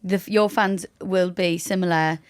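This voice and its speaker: A woman's voice: lowish voice, steady tone, normal speed. A woman with a North East English accent co-hosting a podcast in casual chat, blunt and informal with her interjections. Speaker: podcast host.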